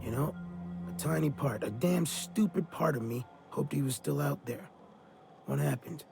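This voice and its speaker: An actor playing a sly, boozy old mercenary with a gravelly voice and folksy, slangy talk in a video game. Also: male voice, fairly high pitch, normal speed, music in the background. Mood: regretful. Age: older. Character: gruff, sly, boozy old mercenary drifter with a guilty streak. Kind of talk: video game character dialogue.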